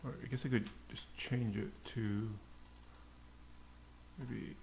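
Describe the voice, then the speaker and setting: Slow, creaky-voiced man thinking aloud. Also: medium pitch, steady tone, darkish tone. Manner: halting, muttering to himself while typing. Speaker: coding tutorial instructor. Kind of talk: unscripted screencast coding tutorial, thinking aloud. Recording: clear background noise, keyboard clicks. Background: typing and mouse clicks.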